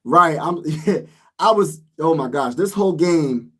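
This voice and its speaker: A man's voice: on the high side, animated, a little slow, pushed and bright. A man losing his voice, ranting emphatically as a sports vlogger in a casual, frustrated way.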